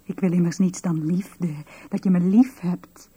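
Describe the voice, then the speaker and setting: An actress in a 1970s radio play playing a young woman in love, yearning and demanding, with a very breathy, husky voice. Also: lowish voice, steady tone, a little slow, warm tone. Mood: pleading. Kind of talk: 1970s Dutch-language radio play acting.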